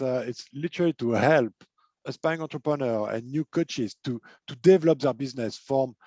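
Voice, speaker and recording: A man who is not a native English speaker hosts the chat, stopping often and repeating words as he goes. His mid-pitched voice comes through a muffled, lo-fi line. There is almost no room sound around it. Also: a little mellow, no room sound. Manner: hesitant, many fillers and repeated words. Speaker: host, life and business coach. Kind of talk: casual interview chat.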